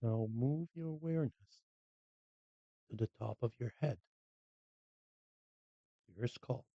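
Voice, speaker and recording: A meditation guide speaks very slowly and calmly, leaving long pauses between short phrases. The voice is dry, with little room sound, and the recording is slightly dull. The delivery is scripted and unhurried, made for guided relaxation. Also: medium pitch, dry recording.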